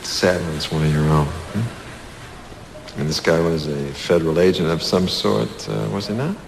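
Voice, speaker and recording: An actor playing a smug, condescending security chief in a crime audio drama, brusque and self-important with veiled menace. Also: male voice, deep voice, steady tone, moderate pace, creaky, background noise.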